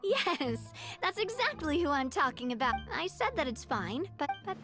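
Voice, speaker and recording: A woman's voice: high voice, big pitch swings, normal speed. A woman playing a spirited young noblewoman leader in a video game, polite, bold and loyal. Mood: poised. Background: game music.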